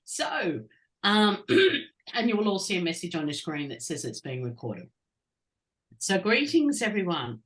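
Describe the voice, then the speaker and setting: A woman's voice: on the low side, big pitch swings, unhurried, fairly bright tone. A woman with an Australian accent hosting an online ritual, friendly and hesitant, with many fillers, speaking over a video call. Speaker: ritual host.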